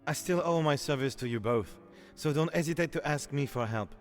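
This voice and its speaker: A man's voice: on the high side, fairly quick, faint music behind, pitched above the performer's own voice, natural voice. A man voicing a polite, earnest male newcomer with a formal manner in an audiobook. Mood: grateful, polite. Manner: courteous, formal. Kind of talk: audiobook character dialogue.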